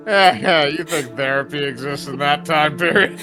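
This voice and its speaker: A man's voice: on the high side, measured pace, over music. A man chatting casually over a video game as a co-commentator, making wry, irreverent remarks with some swearing. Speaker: gameplay co-commentator.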